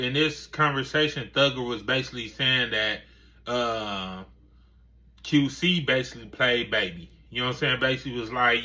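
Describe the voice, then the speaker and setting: A man's voice: medium pitch, sharp tone. A man hosting a casual commentary video, rambling with lots of filler phrases. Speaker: commentary channel host.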